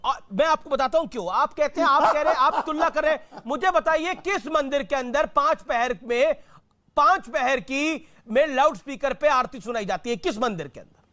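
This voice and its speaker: A man's voice: very high-pitched, normal speed, sharp tone. A man moderating a TV debate combatively, firing insistent, repeated questions. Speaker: TV debate host.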